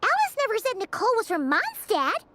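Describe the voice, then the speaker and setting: Very high, childlike fairy sidekick. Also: female voice, wildly swooping pitch, a little fast, background noise, exaggerated voice. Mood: skeptical, practical. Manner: emphatic, chirpy, scolding and blunt. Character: tiny bubbly fairy companion, childlike, excitable and outspoken. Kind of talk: English video game voice acting.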